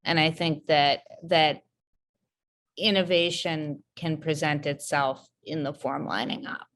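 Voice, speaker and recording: A woman's voice: low-pitched, steady tone, slow, fairly bright tone, a little hiss or hum. A woman around forty, a poet on a panel, answering thoughtfully and searching for her words. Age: about 40. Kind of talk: reflective panel conversation.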